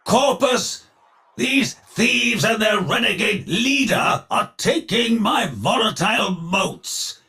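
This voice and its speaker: An actor playing a ruthless, grandiose older industrialist villain in a video game, speaking in a theatrical, pompous and declamatory way with a gravelly voice. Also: male voice, mid-range voice, sing-song, fairly bright tone, full character voice. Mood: commanding. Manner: pompous, declamatory, slow deliberate phrasing.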